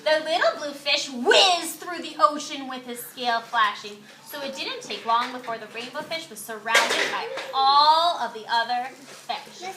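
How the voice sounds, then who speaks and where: High-pitched woman teacher in an echoey, noisy room. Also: measured pace, hardly any pauses. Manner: animated, simple child-directed phrasing with emphatic storytelling. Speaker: teacher reading a storybook aloud to young children. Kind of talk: classroom read-aloud with questions to pupils. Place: indoor classroom. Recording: echoey noisy room, distant microphone, clicks.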